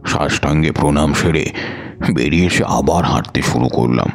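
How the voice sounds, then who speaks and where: A devout wandering tantric mystic, voiced by a man, tells his story in a measured, reflective audio-drama narration. His voice sits extremely low and steady. Faint background music runs beneath him. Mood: calm. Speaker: first-person narrator.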